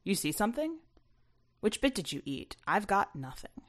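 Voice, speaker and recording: A woman's voice: medium pitch, big pitch swings, normal speed, pitched above the performer's own voice. A woman voicing a mischievous, teasing teenage boy in a first-person story. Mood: doubtful.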